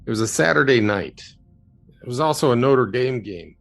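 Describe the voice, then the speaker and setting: A man playing a haunted, earnest UFO witness in an audio drama, telling his story haltingly. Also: medium pitch, expressive, gravelly, fairly bright tone, soft background music.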